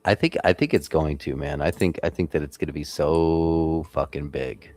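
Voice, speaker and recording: A man's voice: rumbling low, normal speed, darkish tone. A man hosting a live trading stream, talking in casual, profane banter. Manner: profane, laid-back banter.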